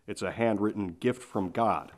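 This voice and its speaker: A male TV reporter reading scripted news narration in a measured, formal way. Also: fairly low pitch.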